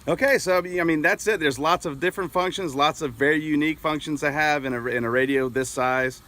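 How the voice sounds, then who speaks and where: A man's voice: a little high, brisk, bright, forceful tone. American guy in his 40s doing a casual gear demo outside, kinda hesitant, explains stuff as he goes. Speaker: product reviewer. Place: outdoors in an open grassy yard with trees.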